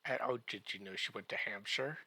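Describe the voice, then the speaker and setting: A man giving a comedic podcast recap in a halting, drawn-out way, full of wry joking asides. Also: lowish voice, bright, forceful tone, breathy edge, no room sound.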